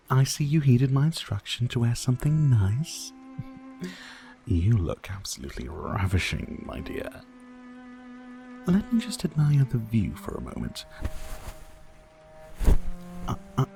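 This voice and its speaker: Guy doing a seductive vampire for asmr roleplay, slow swooping delivery, polite but mocking, music behind. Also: medium pitch, wildly swooping pitch, dark tone, full character voice. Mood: courteous, teasing. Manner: courtly, mocking, seductive, with drawn-out pauses. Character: suave sadistic male vampire host toying with his prey. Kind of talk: intimate roleplay ASMR acting.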